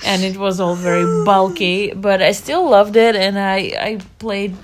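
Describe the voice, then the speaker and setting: A woman, answering interview questions about her childhood in an unhurried way, searching for her words. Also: mid-range voice, steady tone. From Russia. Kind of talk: casual childhood memories.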